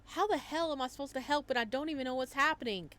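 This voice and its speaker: A woman's voice: high-pitched, fast, fairly bright tone, pitched above the performer's own voice. A woman voicing a frustrated, anxious young man who questions everything, in a visual novel read-aloud. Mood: exasperated.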